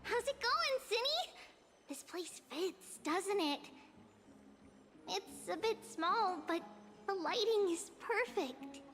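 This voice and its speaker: Game role: sweet, forgetful little lady, very high voice, unhurried and gently hesitant. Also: lively intonation, slight background noise, full character voice. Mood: cheerful. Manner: slow, gentle, hesitant speech. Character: sweet, absent-minded little lady who says "we" for herself. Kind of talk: video game voice acting.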